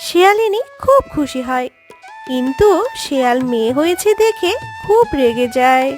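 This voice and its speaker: Very high-pitched woman narrating a story. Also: sing-song, normal speed. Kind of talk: scripted children's story narration. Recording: background music under voice.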